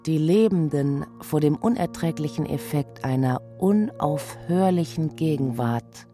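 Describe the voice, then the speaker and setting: A woman performing a poetic radio drama recitation in a deliberate, contemplative manner. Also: sits low, expressive, warm tone. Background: music.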